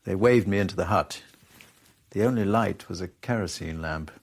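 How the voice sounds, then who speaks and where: An actor playing a dry, sceptical foreign correspondent in a radio drama, narrating and asking questions. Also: male voice, low-pitched, normal speed, vocal fry.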